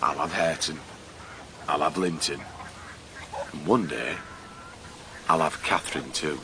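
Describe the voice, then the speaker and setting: Very deep, menacing villain. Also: male voice, background noise. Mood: cold, menacing. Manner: menacing, deliberate. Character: cold, vengeful, possessive master of a moorland farm. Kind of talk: radio drama acting.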